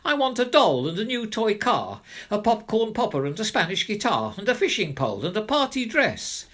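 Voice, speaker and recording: Greedy, bossy small girl for a storybook played by a man: raised pitch, shrill, insistent. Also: very animated, normal speed, a bit bright, exaggerated voice. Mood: demanding.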